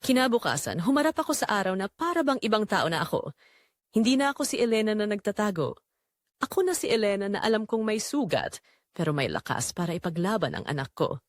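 Woman, Filipino accent, dramatic storyteller, emotional delivery, very lively pitch, dry close sound. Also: fairly quick, dry recording. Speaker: storyteller narrating a Tagalog audio drama. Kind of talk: dramatic first-person scripted narration.

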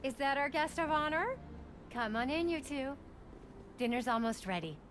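An actress playing a warm, caring middle-aged mother in a video game, speaking affectionately. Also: high-pitched, animated, moderate pace, a little hiss or hum. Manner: affectionate, motherly, slips into Spanish endearments. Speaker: game character.

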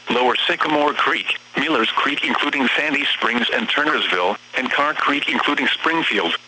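A man's synthetic voice reading scripted weather bulletins over the radio in a formal, measured, impersonal manner. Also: mid-range voice, pushed and bright, breathy edge. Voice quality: synthetic text-to-speech voice. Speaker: automated weather radio announcer. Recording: noisy, humming weather radio broadcast.

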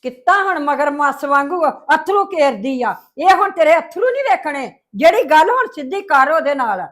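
A woman's voice: high-pitched, very animated, moderate pace, a bit bright. A woman acting a worried village mother who scolds her daughter-in-law and pleads with her son. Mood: complaining. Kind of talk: family drama acting.

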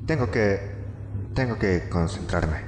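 A young man playing a cocky, wry corporate employee in a Latin American Spanish video game fan dub, joking and expressive. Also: low voice, takes it slowly, noisy background. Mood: weary, focused. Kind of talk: amateur fandub of a video game. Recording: echoey room, music over the voice.

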